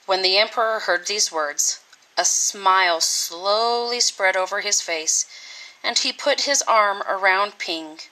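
A woman reading a children's storybook aloud with deliberate, expressive, dramatic phrasing. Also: medium pitch, low-quality recording. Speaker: teacher reading a picture book.

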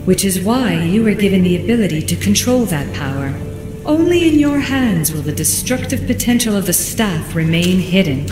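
A solemn, ageless female guardian spirit voiced by a woman speaks like an oracle in a video game cutscene. Her formal, measured words ring out with long hall reverb, and music plays behind her. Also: medium pitch, sing-song, close to an everyday voice. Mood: solemn, calm. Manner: measured, formal, oracular delivery.

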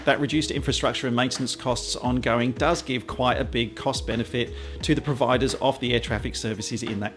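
A man, presenting an explainer to camera in a measured, conversational way. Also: medium pitch. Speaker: aviation vlogger and presenter. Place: small quiet home office, indoors.

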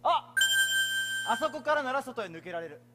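A daring young lawyer speaks with boyish, dramatic self-assurance. His voice is high and swoops freely. Music plays clearly behind him in the theatre. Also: big pitch swings, normal speed. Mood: brisk, eager. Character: cocky, daring young male undercover lawyer. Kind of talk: theatrical stage acting. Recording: theatre stage recording with music.

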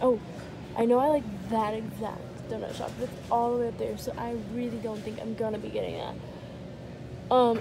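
A woman's voice: on the high side, noisy background. A young woman in her twenties vlogging casually to a handheld phone camera, chatting while she shops. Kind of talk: casual vlog narration. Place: store aisles, later a parked car. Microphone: phone camera held at arm's length.

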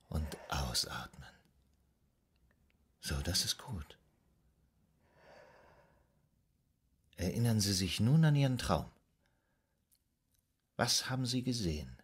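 An actor playing a calm, soothing psychoanalyst in a radio drama, guiding a patient in a measured, hypnotic, gently instructing way. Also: male voice, low voice, husky, exaggerated voice. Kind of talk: German radio drama acting.

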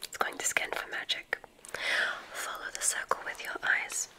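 A woman whispering in a breathy, gentle way, playing a gracious witch dean in an ASMR roleplay. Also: rough recording. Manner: gentle, drawn-out, effusively complimentary. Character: gracious, welcoming witch dean of a magic school.

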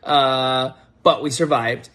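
An American man in his thirties with a mid-pitched voice tells a personal story in a casual way. He is animated and halting, often repeating a word as he goes. He is talking to a phone at a table in a home kitchen. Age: 30s. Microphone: phone propped on table at arm's length.

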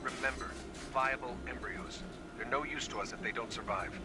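A man voicing a shady corporate spy who speaks in a hushed, conspiratorial way. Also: mid-range voice, fairly even intonation, moderate pace, very noisy, close to an everyday voice.

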